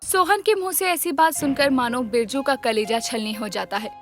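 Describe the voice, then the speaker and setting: A woman giving scripted storybook narration as the narrator of an animated tale. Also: high-pitched, moderate pace, soft background music.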